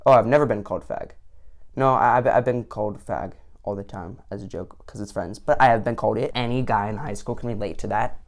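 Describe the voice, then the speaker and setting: Vocal-fry teen guy, sarcastic. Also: lowish voice, fairly quick. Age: late teens. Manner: sarcastic, mock-indignant outbursts. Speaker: vlogger. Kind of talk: sarcastic commentary on an online quiz.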